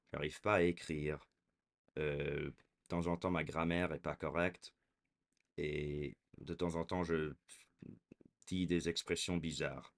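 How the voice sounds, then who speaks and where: A man in his twenties talking casually to a camera, hesitant, with frequent fillers and restarts. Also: deep voice. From raised in America. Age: 20s. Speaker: vlogger.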